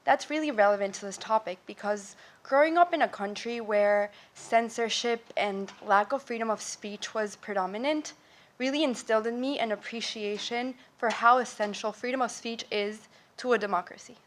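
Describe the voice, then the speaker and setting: Young woman from venezuela presenting at a symposium, kinda high voice, earnest and explaining, some ums. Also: normal speed. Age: young adult. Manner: earnest, explanatory, with occasional fillers and self-corrections. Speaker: student presenter. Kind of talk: academic presentation. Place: lecture hall.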